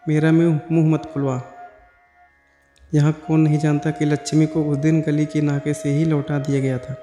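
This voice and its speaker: A man's voice: fairly high pitch, little pitch movement, moderate pace, mellow, close to an everyday voice. Echoey, firm elderly mother, read by a man. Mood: reproachful. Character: protective elderly mother defending her daughter. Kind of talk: moral story narration. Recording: echoey hall-like reverb over music.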